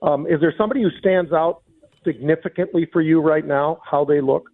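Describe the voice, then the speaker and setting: A man's voice: on the high side, fairly even intonation, brisk. A man hosting a sports radio show, asking casual interview questions in a roundabout, padded way. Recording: tinny phone-like sound.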